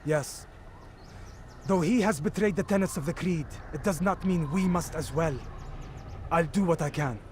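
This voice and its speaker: Actor as an earnest, higher-pitched medieval warrior. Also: male voice, normal speed, a little mellow, a little hiss or hum, close to an everyday voice. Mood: determined. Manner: solemn, formal. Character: earnest, loyal medieval male warrior, gravely determined. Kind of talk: dramatic video game cutscene acting.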